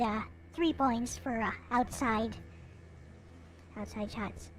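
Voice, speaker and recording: A woman hosting a live stream in casual chat, rambling along with frequent hesitant pauses. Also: high-pitched, a little hiss or hum.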